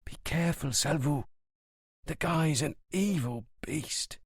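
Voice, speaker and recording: A man voicing a blunt, touchy male friend in an audiobook, speaking gruffly and emphatically. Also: very high-pitched, sing-song, brisk, no echo, studio quality, pitched above the performer's own voice. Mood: emphatic.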